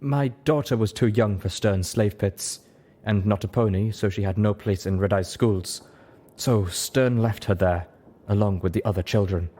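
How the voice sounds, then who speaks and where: Man as a dignified, measured zebra mother. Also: lowish voice, natural voice. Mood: sorrowful, steady. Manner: formal, measured, steady. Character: dignified zebra mother, reserved, sorrowful yet steady.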